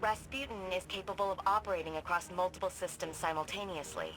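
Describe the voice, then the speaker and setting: An actress voicing a confident woman researcher guiding the player in a game, with a brisk, briefing tone. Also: lowish voice, close to an everyday voice, poor audio. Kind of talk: video game dialogue.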